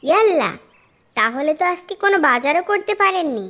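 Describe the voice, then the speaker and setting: A woman voicing a devout, caring and easily flustered young wife in a moral-tale cartoon, polite and expressive. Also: very high-pitched, fairly quick, heavily acted. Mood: caring, concerned.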